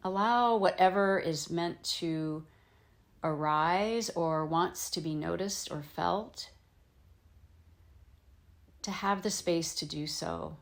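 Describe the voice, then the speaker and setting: Slow, low-pitched woman guiding meditation. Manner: gentle, soothing, unhurried phrasing with pauses. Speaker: yoga nidra teacher guiding a practice. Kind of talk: guided meditation, slow instruction with long pauses.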